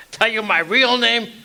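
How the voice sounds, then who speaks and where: An actor playing a menacing, gloating crook with a gun in a melodramatic radio play. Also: male voice, high-pitched, bright, forceful tone, exaggerated voice. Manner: slow, gloating, taunting. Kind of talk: melodramatic radio drama villain.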